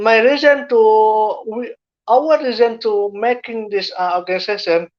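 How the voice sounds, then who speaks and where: A woman's voice: mid-range voice, lo-fi sound. A woman from Indonesia with an Indonesian accent, a guest youth speaker answering interview questions in English with many fillers and restarts. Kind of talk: online interview.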